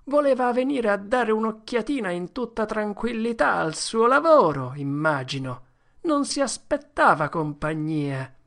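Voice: mid-range voice, very animated, measured pace, fairly bright tone, voice pushed up. An audiobook narrator voicing a scornful townsman who mocks a suspect in a crowd. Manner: scornful, sarcastic. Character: sneering suspicious townsman in a crowd.

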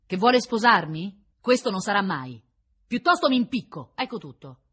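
A woman's voice: medium pitch, very animated, a little fast, sharp tone, husky, voice pushed up, full character voice. A woman voicing a bitter, drunk woman prisoner in a storybook reading, scornful and confrontational. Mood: bitter despair.

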